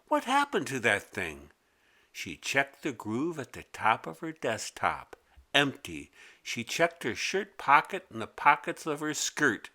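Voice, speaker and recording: Male storybook narrator: expressive, deliberate pacing, bright tone. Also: mid-range voice. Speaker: author narrating his own children's story. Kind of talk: scripted children's story narration.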